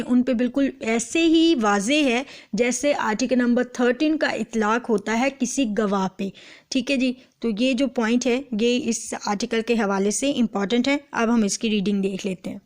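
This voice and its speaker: A Pakistani woman giving an explanatory lecture, reading and translating line by line as she switches between English and Urdu. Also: on the high side. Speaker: law lecturer.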